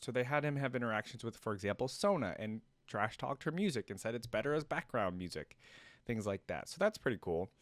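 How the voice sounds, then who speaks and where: A man's voice: on the low side, dry recording. A man giving casual, rambling reaction commentary as a video host, thinking aloud. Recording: music playing behind.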